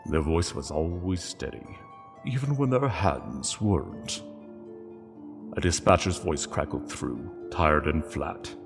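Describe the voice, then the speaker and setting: A man narrating a sombre story in a solemn, hushed and deliberate way. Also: a bass voice, lively intonation, gravelly, big-room sound, faint music behind.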